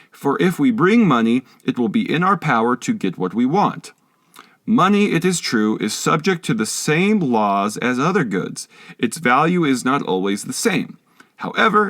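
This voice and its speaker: A man reading a philosophical text aloud as an audiobook narrator, in a measured, didactic manner. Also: mid-range voice, no room sound, clean studio sound. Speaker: audiobook reader.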